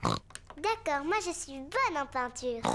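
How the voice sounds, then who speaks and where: A woman voicing a lively, bossy little girl pig in a French-dubbed preschool cartoon. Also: sits high, takes it slowly, a little hiss or hum, exaggerated voice. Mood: bossy, proud. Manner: eager, know-it-all, exclaiming. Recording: cartoon music behind the voice.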